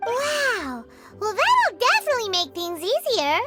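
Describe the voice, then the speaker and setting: Small chatty sidekick creature, very high voice, lively swings, game music loud behind. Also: female voice, full character voice. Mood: cheerful. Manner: chatty, quick to tease, exclaims often. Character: tiny floating female companion, chatty and cheeky. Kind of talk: video game cutscene voice acting.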